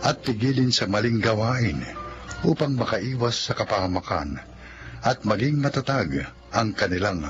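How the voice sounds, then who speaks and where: A man's voice: on the low side, animated, normal speed. A man with a Filipino accent giving formal, scripted narration as a radio drama announcer. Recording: dull lo-fi audio, loud music behind.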